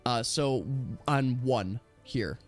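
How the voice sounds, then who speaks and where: A man's voice: mid-range voice, drawn-out words, faint music behind. A man acting as game master of a casual tabletop role-playing game, chatty and explaining the rules as he goes.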